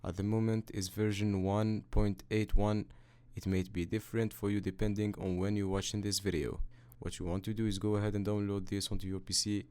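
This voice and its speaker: A man hosting a how-to video, narrating software steps in a matter-of-fact, instructional way. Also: lowish voice, flat delivery, a little fast, clear, resonant tone. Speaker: tutorial host. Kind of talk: scripted step-by-step software tutorial narration.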